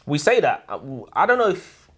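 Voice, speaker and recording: Very animated, slangy man, slightly husky. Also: sits high, moderate pace. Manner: incredulous, slangy, exclamatory banter. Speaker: co-host of a book review show. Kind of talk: casual comedic book discussion. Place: warm room, seated in comfy chairs.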